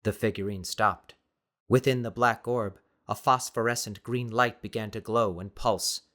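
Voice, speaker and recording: A man's voice: fairly low pitch, fairly even intonation, a little slow, a bit bright. A man reading scripted fiction as a dramatic audiobook narrator.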